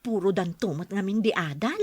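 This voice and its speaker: A woman's voice: medium pitch, brisk, husky, no echo, studio quality, lightly acted. A woman playing a humble, needy widowed single mother in an Ilocano radio drama. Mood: chatty, plaintive.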